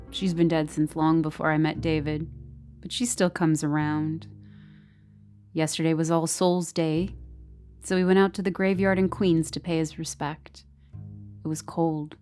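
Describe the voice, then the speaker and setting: An actress playing a bleak, resentful girlfriend who narrates a horror audio drama in a deadpan, brooding way. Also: deep voice.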